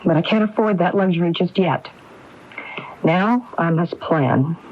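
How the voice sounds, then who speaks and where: An actress playing a grieving but resolute widow in a radio drama, speaking in a measured way. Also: female voice, lowish voice, expressive. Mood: grieving, controlled. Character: determined, composed grieving widow. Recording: muffled AM radio, faint noise.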